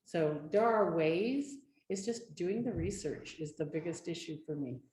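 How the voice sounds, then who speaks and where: A woman speaking as an advocate on a community panel, telling her story in an earnest, steady way. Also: on the low side, a little slow. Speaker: domestic violence advocate. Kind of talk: panel discussion. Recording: echoey room with faint noise.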